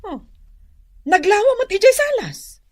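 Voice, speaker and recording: A woman acting a suspicious, nagging wife in Ilocano radio drama, with a drawn-out, questioning manner. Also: very high-pitched, very animated, darkish tone, no echo, full character voice. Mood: curious, accepting. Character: suspicious, nagging wife keeping watch on her husband.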